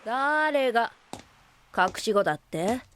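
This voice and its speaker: A woman's voice: fairly high pitch, animated, slow pace, fairly bright tone, slight background noise. An actress playing a cocky, brash young boy with a casual, boyish manner in a Japanese audio drama. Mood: indignant. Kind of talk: Japanese anime-style audio drama acting.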